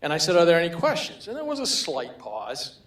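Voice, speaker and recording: A man's voice: fairly high pitch, wildly swooping pitch, a little fast, fairly bright tone. An older man with a Maine accent giving a humorous award acceptance speech, telling anecdotes with chuckles. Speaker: award recipient. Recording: roomy hall echo.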